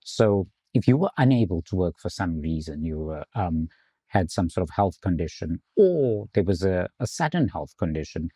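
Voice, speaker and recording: A man from South Africa, an insurance executive, explaining finance in a measured way in an interview. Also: sits low, sing-song, mellow, husky, no echo, clean studio sound. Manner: measured, explanatory, some restarts.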